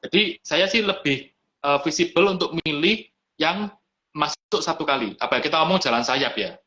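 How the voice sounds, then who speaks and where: A man talks through his business in a relaxed, chatty way, slipping English terms into his sentences. His voice is bright and forceful, and it is sitting a little high for a man. The sound is dull and lo-fi, like a live stream. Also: moderate pace. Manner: easygoing, mixes in English business words. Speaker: restaurant owner, guest. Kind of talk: casual interview chat.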